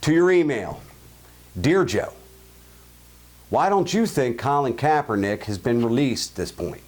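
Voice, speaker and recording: A man's voice: mid-range voice, lively intonation, measured pace, a little mellow. A man with a Baltimore accent hosting his own show, giving blunt, jokey opinions and answering listener emails. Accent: Baltimore (Highlandtown). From Baltimore, USA. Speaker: show host. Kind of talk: opinion monologue and listener mail.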